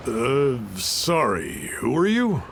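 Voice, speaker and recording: A man's voice: medium pitch, wildly swooping pitch, slow pace, a little hiss or hum, heavily acted. An actor playing a wary, easily offended townsman in a video game, curt and indignant. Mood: puzzled.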